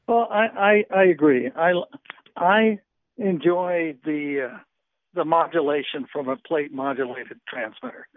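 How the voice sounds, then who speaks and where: A man calling in, chatting casually about old radio gear, halting and repeating words, with a voice he calls squeaky. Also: no room sound. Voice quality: self-described squeaky voice. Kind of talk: casual ham radio chat. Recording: tinny phone line.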